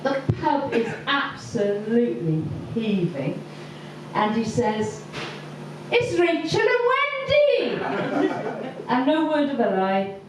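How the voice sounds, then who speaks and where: A woman doing stand-up, telling a story slowly with many ums and pauses. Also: on the high side, very animated, noisy background. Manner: hesitant, lots of ums, slow deliberate delivery. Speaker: stand-up comedian. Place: comedy venue with audience laughter. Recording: echoey far-off live room recording.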